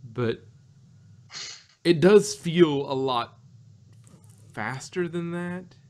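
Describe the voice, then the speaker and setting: A man's voice: fairly high pitch, very slow, a little mellow. Laughing, rambling male podcast co-host. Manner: rambling, laughs often, restarts and hedges mid-thought. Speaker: podcast co-host reviewing an audio drama. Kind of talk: casual review chat.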